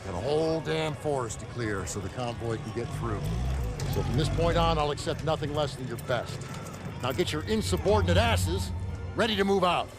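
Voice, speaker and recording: An actor playing a stern, demanding army officer in a war game, with a forceful, commanding military delivery. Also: male voice, a little high, animated, normal speed, over loud music. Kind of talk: cinematic war game acting. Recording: noisy battlefield ambience with vehicles.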